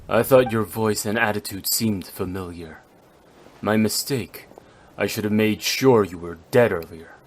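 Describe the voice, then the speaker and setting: A man playing a stern, brooding immortal swordsman in an anime-style game, speaking formally and gravely. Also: on the low side, takes it slowly, pauses often. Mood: cold, contemptuous.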